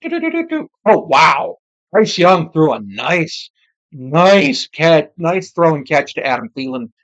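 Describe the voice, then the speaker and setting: An amateur commentator giving casual live football play-by-play, with muttered asides and self-corrections, sex not clear from the voice. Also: mid-range voice, lively intonation, slow, a bit bright, lo-fi sound.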